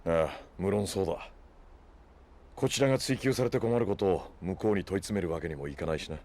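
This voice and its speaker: A man acts as a shrewd, self-possessed leader with a low voice. He speaks coolly and dryly in measured phrases, in anime audio drama style. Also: a little mellow, close to an everyday voice. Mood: composed. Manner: cool, measured, dry.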